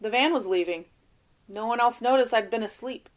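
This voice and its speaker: A woman acts as a haunted field agent with a fairly high voice. She is weary and guarded, talking in halting, casual phrases as in an audio drama. The recording is a little dull. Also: natural voice. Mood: uneasy, hesitant. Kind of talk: audio drama interview acting.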